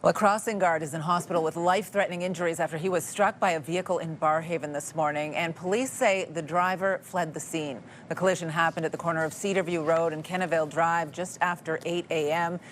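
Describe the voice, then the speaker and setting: A woman's voice: on the low side, steady tone, fast talker, few pauses, slight background noise. A woman news anchor presenting scripted live news from a studio.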